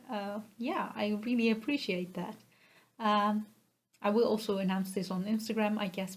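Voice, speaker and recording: A woman with a Dutch accent hosts a casual vlog-style podcast. Her voice sits at a middling pitch for a woman. She talks in a hesitant, halting way and comes across as modest about herself, speaking directly to her viewers. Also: a little mellow. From Netherlands. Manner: hesitant, halting, self-effacing. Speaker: podcast host.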